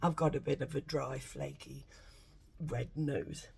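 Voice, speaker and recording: A woman with a congested, bunged-up nose, rambling excitedly and swearing a lot in a casual vlog from home. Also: extremely low pitch, a little slow, vocal fry, breathy edge. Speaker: vlogger.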